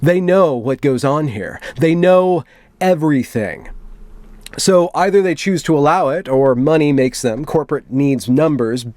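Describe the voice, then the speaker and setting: A man with a fairly high voice hosts an internet commentary video. His delivery is wry, with a mock-dramatic streak when reading aloud. The sound is dry, with no room echo to speak of. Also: a little slow, darkish tone. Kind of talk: commentary on internet culture, reading out a post and reacting.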